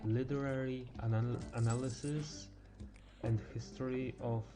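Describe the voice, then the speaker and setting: A teenage male game streamer thinking aloud in casual live chat, halting and stumbling over words as he goes. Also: medium pitch, steady tone, music in the background. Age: teens.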